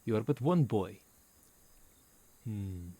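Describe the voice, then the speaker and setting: A man's voice: on the low side, lively intonation, slow, dark tone, husky, heavily acted. A man playing a stern, pedantic half-vampire professor with an Albanian accent and a formal, exasperated manner. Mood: lecturing. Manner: formal, pedantic, article-dropping speech.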